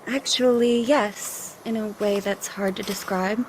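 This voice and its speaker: A woman playing a faithful, protective young refugee in an audio drama, earnest and gentle with a frightened edge. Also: medium pitch, fairly even intonation, measured pace, slight background noise, lightly acted, low-quality recording. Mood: earnest, reflective. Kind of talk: cinematic audio drama acting.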